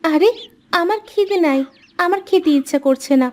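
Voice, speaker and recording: A woman plays a poor but generous village girl from a cartoon. Her voice is extremely high, earnest and pleading, with a wistful, kindly temper. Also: normal speed, darkish tone. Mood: reluctant. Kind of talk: cartoon character dialogue.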